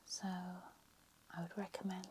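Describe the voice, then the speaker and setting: Woman for a whispered ASMR lecture, low, flat, a little croaky, very slow. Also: a little hiss or hum. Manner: slow, soft, unhurried whisper. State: slightly croaky voice.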